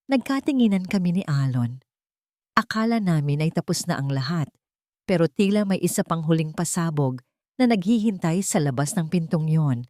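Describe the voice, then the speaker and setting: A woman narrates a dramatic story from a script. Her voice sits a little low for a woman. The recording is close and very dry, with no room sound. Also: dark tone, dry recording.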